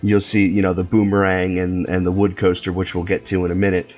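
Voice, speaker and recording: A man's voice: low voice, fairly quick. Guy with a stuffy nasal voice chatting about a game build, kinda rambly, muffled mic. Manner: relaxed, rambling, many fillers. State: nasal and scratchy from a sinus infection. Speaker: co-host building a theme park in a video game. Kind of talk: casual gaming chat.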